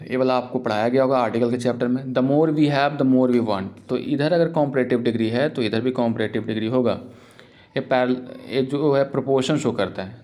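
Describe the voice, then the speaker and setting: A man teaching English grammar for exams, explaining in Hindi and reading English example sentences out slowly. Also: medium pitch, darkish tone. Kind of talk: exam-prep grammar lesson.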